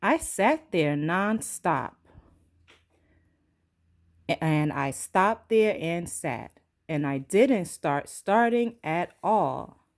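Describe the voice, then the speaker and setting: Slow, low-pitched woman reading a storybook. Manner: expressive, dramatizes sounds and dialogue. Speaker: pre-K teacher reading a picture book aloud. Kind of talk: storybook read-aloud for young children.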